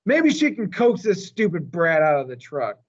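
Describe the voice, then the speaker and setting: A man's voice: fairly high pitch, very animated, normal speed, muffled recording, pitched above the performer's own voice. A man voicing a brash, impatient male show boss who barks orders in a pushy way. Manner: barking, pushy.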